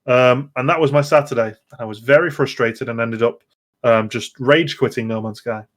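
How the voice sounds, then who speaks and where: A man's voice: medium pitch, fairly even intonation, normal speed. A man hosting a casual gaming podcast, chatty and digressive, often interrupting himself as he goes. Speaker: podcast host.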